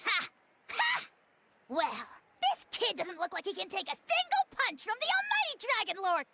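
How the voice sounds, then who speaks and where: A woman voicing a tiny, brash, boastful and bossy creature with a squeaky voice in a video game. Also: huge pitch swings, moderate pace, gravelly, heavy background noise, exaggerated voice. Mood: boastful, scoffing. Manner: boastful, exclamatory.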